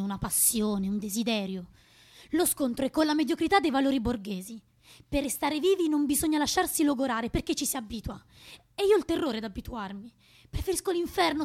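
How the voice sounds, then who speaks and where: High-voiced, idealistic young woman. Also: a little mellow. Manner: earnest, searching, intense. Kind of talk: radio drama acting.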